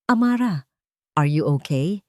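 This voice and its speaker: Audiobook role: quietly comforting male protector, read by a woman, gentle delivery, dry studio sound. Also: sits low, lively intonation, unhurried, no room sound. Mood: gentle concern. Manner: soft-spoken, reassuring.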